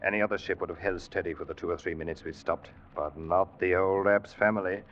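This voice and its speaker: Actor as a grave low-voiced ship's officer, old radio. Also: male voice, fairly even intonation, fast, background noise, lightly acted. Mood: tense narration. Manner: dramatic storytelling. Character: grave, loyal ship's officer recalling a haunted voyage. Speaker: radio actor, first-person narrator. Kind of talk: old-time radio drama acting. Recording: muffled vintage radio recording.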